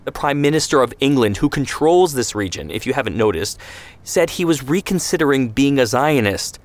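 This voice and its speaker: A man's voice: mid-range voice, moderate pace, pitched above the performer's own voice, natural voice. A man voicing an idealistic young man who argues with rising outrage. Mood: heated. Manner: outraged, pleading.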